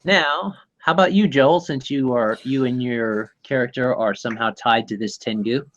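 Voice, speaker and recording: A man's voice: medium pitch, a bit bright, lo-fi sound. A man acting as game master, talking casually and correcting himself as he goes. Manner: casual, halting, self-correcting. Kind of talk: running a tabletop game session.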